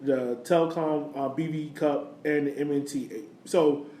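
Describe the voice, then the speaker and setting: A man's voice: a little high, fairly even intonation, measured pace, mellow. A man co-hosting a podcast with casual, conversational sports chat. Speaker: podcast co-host. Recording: echoey room, faint background noise.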